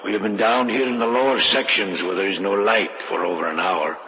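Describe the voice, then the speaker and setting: An actor playing a grave, proud European guide in an old radio horror drama, speaking formally and solemnly. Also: male voice, lowish voice, steady tone, brisk, pushed and bright. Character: grave, proud European local man guiding castle visitors. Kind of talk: 1940s-50s American radio horror acting. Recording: dull hissy old radio recording.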